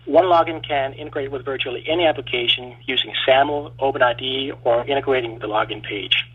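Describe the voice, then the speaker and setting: A man from Denmark calls in over a tinny phone line. He rattles off a rehearsed sales pitch at a fast clip, and his voice sounds husky. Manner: steady, rapid, read-through delivery. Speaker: startup founder pitching as a caller. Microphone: phone call.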